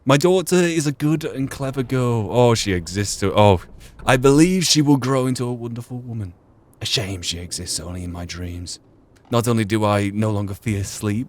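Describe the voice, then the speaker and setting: A man's voice: fairly low pitch, lightly acted. A man voicing a lonely, tormented old professor, reading a journal aloud in a formal, theatrical way. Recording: faint background noise.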